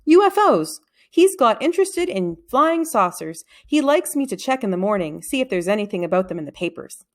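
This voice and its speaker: A woman reading a storybook, voicing a cheerful, obliging, slightly slow-witted father in a plain way. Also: medium pitch, very animated, natural voice. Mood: eager to help.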